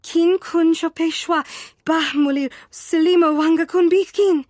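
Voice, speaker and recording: A woman's voice: extremely high pitch, fairly even intonation, measured pace, darkish tone, no echo, studio quality. A woman voicing a defiant female alien warrior in a video game, speaking clipped syllables of an invented tongue. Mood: agitated.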